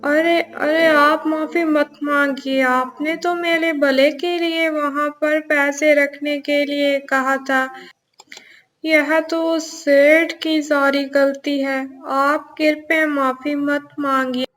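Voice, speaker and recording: A woman's voice: high voice, little pitch movement, takes it slowly, fairly bright tone, faint music behind. A woman playing a poor, honest labouring man in a cartoon story, deferential and pleading. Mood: sorrowful, humble. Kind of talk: scripted cartoon story dialogue.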